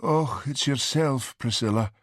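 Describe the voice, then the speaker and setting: A man voicing a miserable, flu-ridden Highland Scottish policeman in an audiobook, stiff, self-pitying and sibilant. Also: a little slow, no echo, clean studio sound, lightly acted. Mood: weary.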